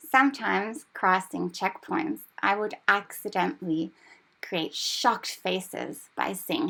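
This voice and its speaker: A woman in her thirties giving a prepared personal narrative in a measured, earnest and reflective way. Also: medium pitch, creaky, fairly bright tone. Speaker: speaker telling her language-learning story.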